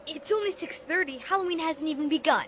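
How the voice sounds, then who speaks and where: A performer playing a curious, excitable young boy in a dramatized audiobook, eager and puzzled in questioning. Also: high voice, fast. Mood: curious, uneasy. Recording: clear background noise and ambience.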